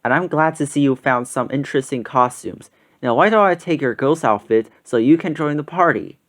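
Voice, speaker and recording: Gracious, gentle storybook party hostess, as a reader voices her. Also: medium pitch, normal speed, lightly acted. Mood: pleased. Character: kindly woman hosting a costume party, gracious and welcoming.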